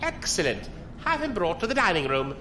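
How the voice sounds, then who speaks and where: An actor playing a villainous military commodore in an audio drama, formal, condescending and authoritative. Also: male voice, sits high, huge pitch swings, normal speed. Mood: suavely controlling. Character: smooth, imperious male military commodore, villainous. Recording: clear background room ambience noise.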